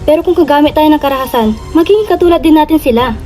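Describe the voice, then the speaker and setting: A woman's voice: high-pitched, fast, dark tone, soft background music, close to an everyday voice. A woman acting an earnest, cautious ally of a whistleblower in a Filipino radio drama. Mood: worried, urgent.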